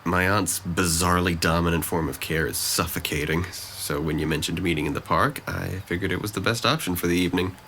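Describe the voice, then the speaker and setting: A man's voice: sits low, fairly quick, hardly any pauses, bright, forceful tone, a little hiss or hum. A man playing a sardonic, scheming teenage boy in an audio drama, articulate and condescending. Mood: dry, matter-of-fact. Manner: articulate, formal phrasing, condescending.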